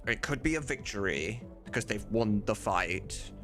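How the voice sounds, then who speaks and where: Guy streaming, lowish voice that swings around a lot, chatty and keen, music going behind him. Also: lively intonation, normal speed, fairly bright tone. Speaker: game mod voice director and streamer. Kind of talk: casual livestream commentary, thinking aloud. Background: music in the background.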